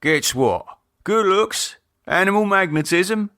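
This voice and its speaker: A man performs a cheeky middle-aged British landlord and dad in an audiobook. He banters bluntly and loudly, pitched up and swinging widely, with a very dry, close sound. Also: expressive, slow, no room sound, exaggerated voice. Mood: cheeky, amused. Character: cheeky middle-aged British pub landlord, teasing, blustering husband and father.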